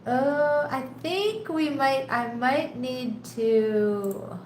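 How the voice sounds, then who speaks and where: Slow, congested woman reading tarot live. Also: high-pitched, darkish tone. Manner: chatty and unhurried, laughs often, greets viewers by name. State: congested, a little nasal. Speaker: tarot reader hosting a live stream. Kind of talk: casual live-stream chat. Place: home in a rainy tropical place. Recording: echoey room, faint background noise.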